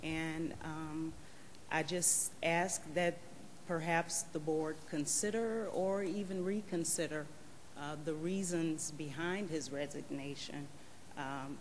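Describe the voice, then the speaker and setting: Earnest mother pleading at a board meeting, halting delivery in a reverberant auditorium. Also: deep voice. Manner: earnest, hesitant with many fillers. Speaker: parent giving public comment. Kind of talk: public comment at a school board meeting. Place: large auditorium. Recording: reverberant hall, faint room noise.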